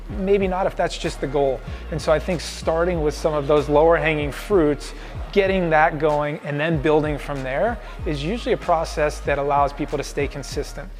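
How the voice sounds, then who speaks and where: A man who works as a strength and conditioning coach, explaining his approach in an upbeat interview. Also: a little high. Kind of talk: documentary interview.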